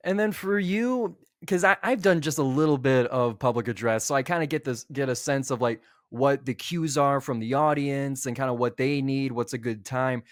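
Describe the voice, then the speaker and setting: A man's voice: mid-range voice, normal speed, few pauses, dry recording, studio quality. A man hosting a casual interview, building long, wordy questions and rephrasing them as he goes. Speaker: interviewer, podcast host.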